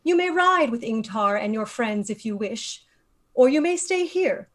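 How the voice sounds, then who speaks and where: Commanding, composed high-voiced actress as female leader. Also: expressive, measured pace. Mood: measured authority. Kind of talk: table read of a screenplay.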